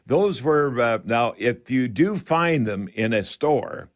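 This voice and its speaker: Folksy, halting man on radio. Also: medium pitch, animated. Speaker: radio call-in host. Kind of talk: home improvement advice chat. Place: radio studio.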